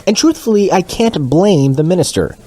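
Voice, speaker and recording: A man's voice: high-pitched, expressive, normal speed, mellow. A man playing a sanctimonious, condescending radio news co-anchor who reads satirical bulletins with mock earnestness. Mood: self-righteous.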